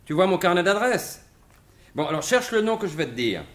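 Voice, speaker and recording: An actor playing a sly, self-assured gentleman thief turned sleuth in an animated 1950s-style French radio mystery. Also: high voice, fairly quick. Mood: brisk, eager.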